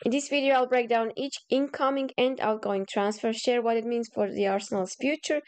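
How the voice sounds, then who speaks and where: A woman's voice: fairly high pitch, a little mellow. A woman from Croatia with a Croatian accent, a non-native English speaker presenting a football transfer review to camera, partly reading her notes, nervous and apologetic and often laughing at herself. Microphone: camera at home, simple setup.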